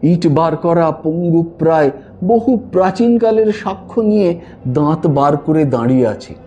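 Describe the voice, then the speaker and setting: A man narrates a classic tale dramatically. His pitch moves in lively swings, and the tone stays soft and mellow. Faint ambient noise sits behind him. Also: moderate pace, highs cut off. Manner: expressive, theatrical storytelling. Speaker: story narrator. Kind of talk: dramatic audio-story narration of classic fiction.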